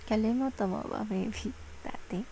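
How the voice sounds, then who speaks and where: A woman with a Filipino accent playing an apologetic, tender young girlfriend in an intimate ASMR roleplay, speaking softly and hesitantly in a coaxing way. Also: medium pitch, moderate pace, a little hiss or hum, natural voice. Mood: pleading, reassuring. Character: apologetic young girlfriend, tender, pleading, soothing her jealous partner.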